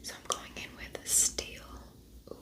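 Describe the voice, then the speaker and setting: Whispering woman in a casual ASMR tutorial. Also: measured pace. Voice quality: breathy unvoiced whisper. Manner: soft, intimate, repeats words. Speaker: ASMR makeup tutorial host.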